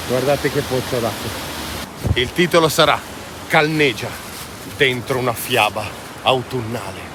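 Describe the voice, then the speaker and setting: Guy doing a hiking vlog, excited slangy chat, average pitch, some outdoor noise behind him. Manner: enthusiastic, exclamatory, informal and slangy. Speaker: hiking vlogger. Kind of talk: casual vlog chat while walking outdoors. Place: outdoors on a mountain trail. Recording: outdoor noise, ambient hiss.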